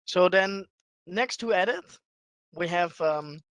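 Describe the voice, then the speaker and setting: A man presenting a live software demonstration as a webinar trainer, explaining things step by step in a halting way. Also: high voice, smooth, dry recording, poor audio.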